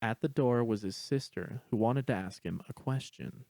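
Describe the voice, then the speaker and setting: A man's voice: mid-range voice, normal speed, warm tone, dry recording. A man reading scripted story narration as the narrator.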